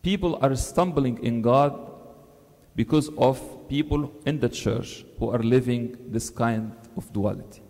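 A priest preaches from a lectern, earnest and emphatic, his pitch climbing on the words he stresses. His voice is dark and mellow. It rings out with a long reverb that fills the large church. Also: a little slow. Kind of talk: sermon. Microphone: stand mic on the lectern.

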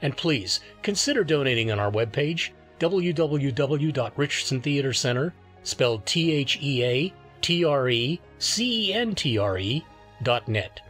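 A man's voice: medium pitch, expressive, measured pace, fairly bright tone, soft background music. A man working as an announcer, reading a scripted radio show sign-off.

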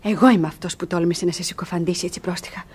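An actress playing a bold young runaway ward in a classic radio comedy, pleading yet proud and theatrical. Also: female voice, lowish voice, fairly even intonation, darkish tone, breathy edge. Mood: apologetic.

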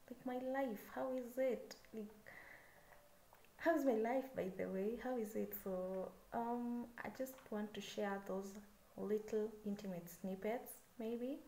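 A woman in her twenties with a Kenyan accent talks straight to camera in a relaxed, chatty way, a touch nervous and full of "so yeah" fillers. Her voice is mellow and soft-edged. The sound bounces around a small room, with a faint hiss behind it. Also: mid-range voice, reverberant, low-quality recording. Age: 20s. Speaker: vlogger. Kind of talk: casual self-introduction to camera.

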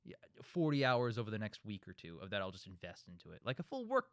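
A man's voice: on the low side, huge pitch swings, quick pace, dry recording, clean studio sound. A man in his twenties hosting a casual group discussion, explaining his points at wordy, rambling length. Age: 20s. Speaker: host of a film discussion show.

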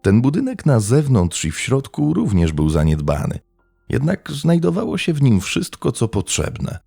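A man reading scripted true crime narration in a measured, dramatic storytelling manner. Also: medium pitch, huge pitch swings, gravelly, warm tone, slightly rough, dry recording. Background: faint background music.

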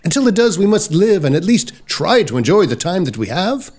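Man acting a high-pitched, kindly Victorian gentleman. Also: very animated, brisk. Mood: consoling. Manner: theatrical, reassuring. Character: kindly, sensible Victorian brother-in-law. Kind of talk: Victorian audio drama acting.

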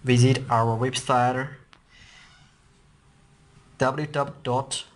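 A man giving an unscripted product demonstration, halting and repeating himself as he searches for words. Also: mid-range voice, steady tone. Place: indoors at a worktop. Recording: noisy handheld recording with clicks.

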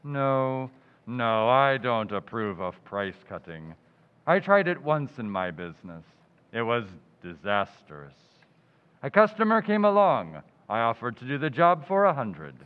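Man voicing a pompous undertaker, slow, lilting, tinny and echoey. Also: medium pitch, very animated, clear, resonant tone. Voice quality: pompous, drawn-out and punning. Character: pompous middle-aged male undertaker Digger, smug. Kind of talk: 1940s radio comedy acting. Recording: tinny, reverberant lo-fi audio.

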